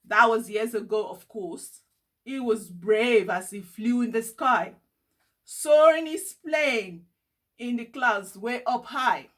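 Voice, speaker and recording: A woman's voice: a little high, slow. A woman voicing an encouraging, upbeat older boy cousin in a storybook, reassuring and enthusiastic.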